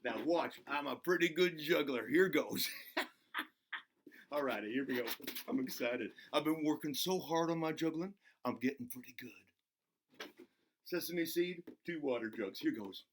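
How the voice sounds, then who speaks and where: A man voicing an excitable, goofy buzzard who hosts a children's show, playful and giggly as he talks to himself and to the kids. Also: on the high side, a little slow, a little hiss or hum. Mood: chirpy, eager. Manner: giggly, self-interrupting, talks to himself in third person. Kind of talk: playful craft demonstration for children.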